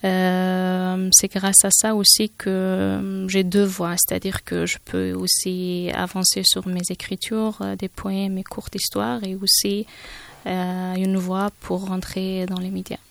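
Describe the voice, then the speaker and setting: A woman from Afghanistan with an Afghan accent, her first language Persian, speaking as a guest in a radio studio interview and pausing often to find her words. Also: mid-range voice, completely flat delivery, creaky.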